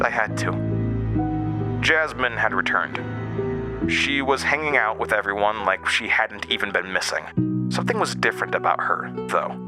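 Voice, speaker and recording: An actor playing a haunted man who recounts frightening childhood memories in halting, uneasy phrases for a horror audio drama. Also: fairly low pitch, lightly acted. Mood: regretful, tense. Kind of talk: first-person horror audio drama narration.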